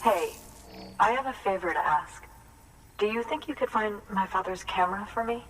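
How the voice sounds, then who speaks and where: An actress playing an earnest, grieving woman researcher in a video game cutscene, speaking in a halting, heartfelt way. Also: medium pitch, lively intonation, a bit bright, distant mic, slight background noise, natural voice, rough recording. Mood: earnest, pleading. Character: earnest, grieving woman researcher carrying on her late father's work.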